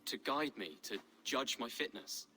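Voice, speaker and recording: An earnest young lord in a video game. His mid-pitched voice is bright and very animated, polite and a little unsure of himself. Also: unhurried, slight background noise. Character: earnest, unsure young nobleman, heir to a shire. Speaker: video game character actor. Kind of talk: dramatic game cutscene acting.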